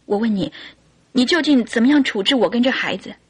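A woman's voice: a little high, animated, fairly quick, breathy edge. An actress playing an earnest, devoted mother and wife, pleading yet resolute, in classic Mandarin radio drama style.

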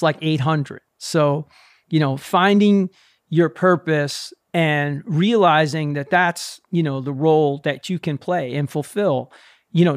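Male host, slightly higher voice, enthusiastic, dry recording. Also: dry recording. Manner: enthusiastic, affirming. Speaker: podcast host. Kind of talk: casual conversation.

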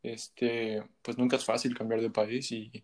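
A young Mexican man talks in a loose, rambling way, full of slang and fillers. His pitch sits in the middle range, and he sounds like a guest musician chatting with an old friend. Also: level tone, measured pace. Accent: Mexican Spanish. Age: young adult. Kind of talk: casual chat.

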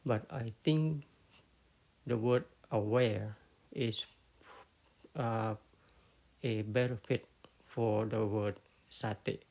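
A man with a Cambodian accent giving an unscripted Buddhist talk, halting often with fillers and restarts. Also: medium pitch, creaky. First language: Khmer. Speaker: Buddhist teacher.

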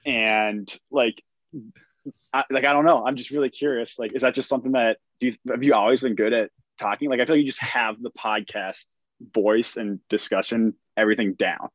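A man's voice: medium pitch, steady tone, brisk, fairly bright tone, no room sound. A young man hosting a podcast, casual and enthusiastic, with lots of likes and fillers. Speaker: podcast host. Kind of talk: casual interview chat. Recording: dull, dry podcast audio.

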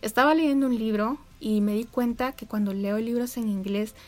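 Mujer joven, voz algo aguda, charla animada. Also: female voice, on the high side, normal speed. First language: Spanish. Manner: chatty, upbeat, talks straight to viewers. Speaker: vlogger. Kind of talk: casual chat to viewers. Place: home room with neighbors' animals outside.

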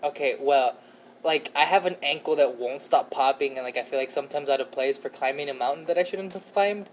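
A man's voice: medium pitch, fairly quick, slight background noise, rough recording. A man in his twenties co-hosting a podcast, chatting in a laid-back, rambling way with lots of fillers and casual swearing. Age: 20s. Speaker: podcast co-host. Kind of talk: casual chat.

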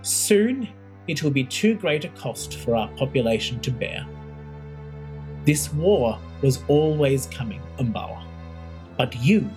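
A woman's voice: rumbling low, lively intonation, measured pace, dark tone, soft background music. A narrator voicing a stern, commanding woman who heads an imperial academy, speaking with cutting scorn. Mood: grim.

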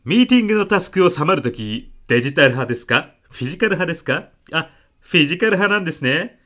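A man's voice: a little high, big pitch swings, fast talker, a bit bright. A man playing an earnest, easily flustered middle-aged salesman in a comedic radio drama. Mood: slick, jargon-laden. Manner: polite, hesitant, protesting.

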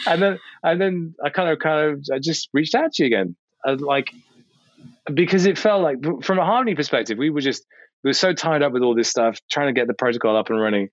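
A man's voice: a little high, fairly quick, no room sound. Male podcast guest, British accent, casual anecdotal delivery with quoted dialogue, close dry sound, a touch lo-fi. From United Kingdom. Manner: informal, repeats phrases for emphasis, quotes imagined dialogue. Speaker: podcast guest, video producer. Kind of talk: casual chat, animated anecdotes.

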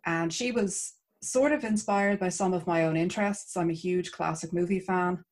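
A woman from Ireland with an Irish accent, an author chatting warmly about her writing as an interview guest. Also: lowish voice, moderate pace. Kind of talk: casual interview chat.

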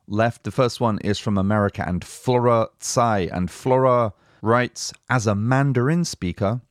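A man's voice: medium pitch, unhurried, no echo, studio quality. A man hosting a language-learning podcast, upbeat and chatty, laughing often. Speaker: podcast host. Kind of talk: casual podcast chat.

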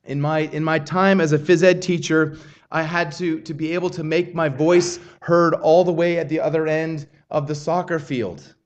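A man preaching a sermon in an earnest, measured way, often restarting his phrases. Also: a little high, fairly even intonation, highs cut off. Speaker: pastor.

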